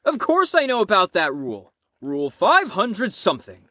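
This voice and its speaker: A woman's voice: mid-range voice, wildly swooping pitch, normal speed, fairly bright tone, dry recording, clean studio sound. Cocky, swooping young rebel played by a woman. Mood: mocking. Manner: teasing, sarcastic, slangy. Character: brash, cocky, rebellious young slacker psychiatric patient. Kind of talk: audio drama acting.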